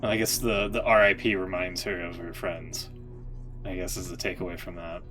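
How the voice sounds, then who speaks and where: A man's voice: low voice, little pitch movement, moderate pace, fairly bright tone, faint music behind. A man giving casual, informal live-stream commentary on a game, musing aloud and hesitantly.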